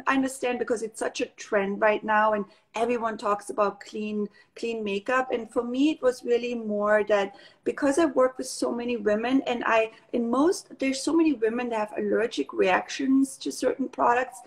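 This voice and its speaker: Woman chatting on a video call. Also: on the high side. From Austria. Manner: chatty, warm, with many fillers and restarts. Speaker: guest, makeup artist. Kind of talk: casual video call interview. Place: living room at home.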